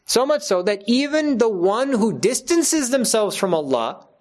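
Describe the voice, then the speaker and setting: A man's voice: very high voice, very animated, a little slow. Earnest man giving a religious talk. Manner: earnest, emphatic, repeats key phrases for stress. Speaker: religious teacher addressing his congregation.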